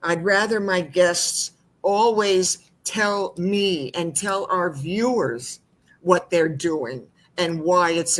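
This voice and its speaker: A woman hosting a talk show, introducing her guest at length with effusive praise and some hesitant fillers. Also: on the low side. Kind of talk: interview introduction.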